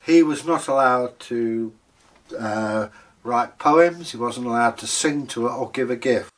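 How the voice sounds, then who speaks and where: A man telling stories aloud in a theatrical, joking manner, drawing out words for emphasis. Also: medium pitch. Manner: theatrical, jokey, drawn-out emphasis. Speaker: storyteller. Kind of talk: animated oral folktale telling and chat.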